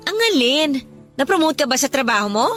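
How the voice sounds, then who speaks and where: A woman's voice: high voice, lively intonation, brisk, fairly bright tone, soft background music. A Filipino woman playing a busy young office worker in a Tagalog radio romance drama, casual, apologetic and guarded. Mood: curious, surprised.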